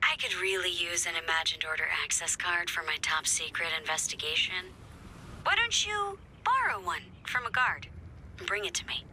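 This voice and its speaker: A self-assured female resistance investigator from a video game. She is dry and conspiratorial, very sharp in tone and animated in pitch, with faint noise behind. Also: normal speed, heavily acted. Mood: secretive, snarky.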